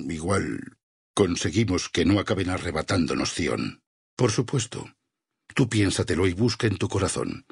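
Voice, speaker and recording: Casting: devout, vengeful warrior preacher for a video game. Deep, extremely raspy, Castilian Spanish accent, solemn persuasion. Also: male voice, dry recording, clean studio sound, full character voice. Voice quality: husky, gravelly low voice. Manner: solemn, measured, sermon-like persuasion. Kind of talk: dramatic video game dialogue.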